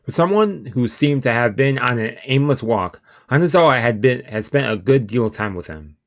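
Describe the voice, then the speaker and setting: A man's voice: medium pitch, expressive, moderate pace. A man streaming a let's play, reading the story aloud and dropping in casual, slangy asides. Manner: informal, slangy, reacts in asides. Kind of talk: reading aloud a visual novel with casual commentary.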